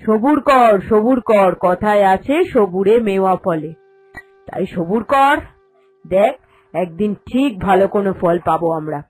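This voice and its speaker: A woman's voice: mid-range voice, expressive, measured pace, a little mellow, husky, rough recording. A woman voicing a crafty, greedy elder daughter-in-law in a cartoon, bossy and sly in her delivery. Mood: impatient, sly. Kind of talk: cartoon storytelling dialogue. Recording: voice over background music.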